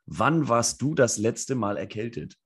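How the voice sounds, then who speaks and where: A young man co-hosting a podcast in casual, joking chat, hoarse from a cold. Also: mid-range voice, sing-song, a little slow. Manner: joking, laid-back banter. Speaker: podcast co-host.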